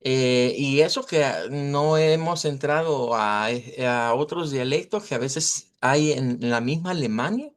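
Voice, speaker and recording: A male teacher with a Latin American Spanish accent and a mid-pitched voice. He explains pronunciation in an informal, didactic way. His delivery is deliberate, with small check-in questions along the way. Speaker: language teacher. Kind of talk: informal online language lesson, explaining pronunciation.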